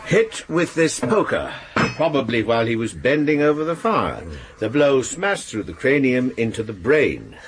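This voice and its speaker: An actor playing a matter-of-fact, precise police doctor in a radio drama. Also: male voice, medium pitch, big pitch swings, normal speed, background noise, close to an everyday voice. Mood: clinical, brisk. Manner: clinical, precise.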